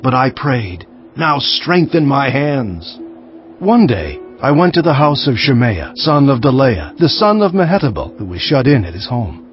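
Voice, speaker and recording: Earnest, animated ancient governor narrating. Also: male voice, mid-range voice, a little slow. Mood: resolute. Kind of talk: dramatized scripture narration. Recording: dull sound with faint music underneath.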